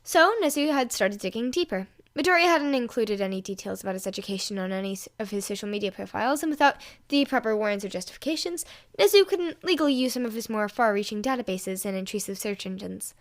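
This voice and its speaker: A woman's voice: mid-range voice, animated, fast talker, few pauses. A woman reading scripted fiction aloud as a storyteller. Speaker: fan fiction reader and podfic host.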